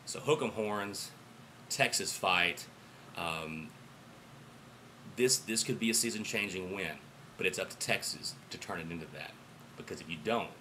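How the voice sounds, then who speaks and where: An American man talks like a fired-up sports fan vlogger, with a very bright, forceful voice that has gone hoarse from yelling. He stresses his points and often repeats them. A faint noise sits behind his voice. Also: deep voice, poor audio. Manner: emphatic, repeats himself, swings between excited bursts and quieter asides. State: hoarse voice after yelling through a game. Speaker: football fan vlogger and alum of the team he supports. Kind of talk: impassioned sports fan commentary to camera.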